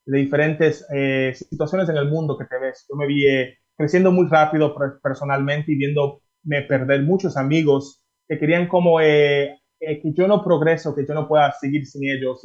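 A man from the Dominican Republic talks about his music in a chatty, rambling way, full of fillers and restarts. His voice sits in a middle pitch. The audio is dull and lo-fi, like a remote call. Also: a little mellow. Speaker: singer guest. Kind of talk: interview answers.

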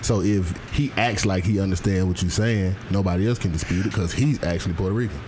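A young podcast co-host teases his partner in a low, laid-back voice. His slangy banter rolls along, with faint ambient noise under it. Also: fast, darkish tone.

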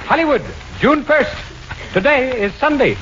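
A man's voice: extremely high pitch, animated, moderate pace. A man playing a pompous, emphatic Hollywood gossip reporter in a 1940s radio comedy. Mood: pompous, urgent. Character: self-important, breathless Hollywood gossip reporter. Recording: very noisy muffled 1940s radio recording.

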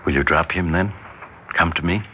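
An actor in a 1960s radio drama playing a smooth, scheming brother, speaking in a measured, insinuating way. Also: male voice, low-pitched, natural voice. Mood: suave, teasing. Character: smooth, scheming brother in a family business plot. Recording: muffled, hissy 1960s radio recording.

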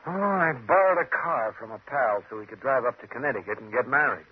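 An actor playing a furtive small-time crook with murder in mind, guarded and hesitant, in a 1950s radio thriller. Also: male voice, mid-range voice, lively intonation, fairly quick, lightly acted. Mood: wry, reminiscing. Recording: muffled, noisy old radio recording.

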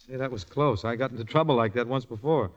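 A man's voice: mid-range voice, fast talker, slight background noise, lightly acted. Actor as a flustered young man, muffled old radio. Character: young minstrel performer, flustered and torn in love. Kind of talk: 1940s radio acting. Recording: muffled vintage 1940s radio recording, music.

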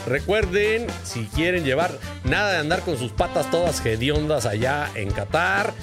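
A man's voice: on the high side, lively intonation, moderate pace, music in the background. A Mexican man hosting a casual panel show, joking around in brash slang with plenty of swearing. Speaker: show host.

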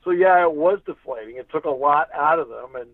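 Thin, dry phone sound. Male sports announcer as guest, fairly high voice, conversational and emphatic. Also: lively intonation, fairly quick, no echo, narrow, tinny audio. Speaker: radio play-by-play announcer as guest.

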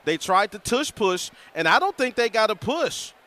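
A man provides color commentary on a live football broadcast. His voice is high and sharply bright, observing each play as a radio analyst. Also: big pitch swings, normal speed.

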